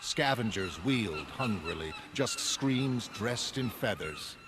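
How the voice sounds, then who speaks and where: An actor playing a haunted, horror-struck castaway, narrating a pirate comic in solemn, literary gothic storytelling. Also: male voice, medium pitch, huge pitch swings, slow, noisy background. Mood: dazed, bleak. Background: music.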